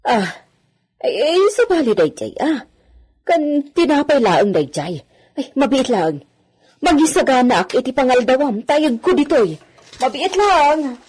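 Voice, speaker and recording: A woman's voice: high voice, very animated, unhurried, a little mellow, slightly rough, heavily acted. A woman with an Ilocano accent playing an evasive, negligent household servant in a radio drama. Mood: flustered.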